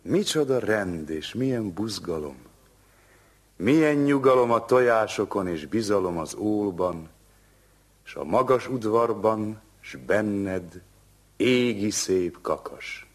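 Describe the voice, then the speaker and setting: A man reciting poetry in a measured, expressive and deliberate manner. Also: fairly low pitch. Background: cello music.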